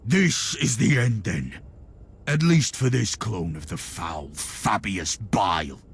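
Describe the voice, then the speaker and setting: An actor playing a stern, devout inquisitor, solemn and formal in a video game cutscene. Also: male voice, fairly low pitch, expressive, takes it slowly, vocal fry, breathy edge, exaggerated voice. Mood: grim. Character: stern, devout male imperial inquisitor, resolute warrior. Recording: music behind the voice.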